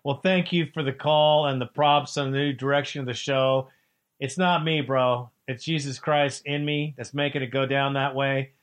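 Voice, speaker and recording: A man hosting a sports talk radio show, excitable and preachy, trash-talking like a devoted fan. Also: mid-range voice, moderate pace.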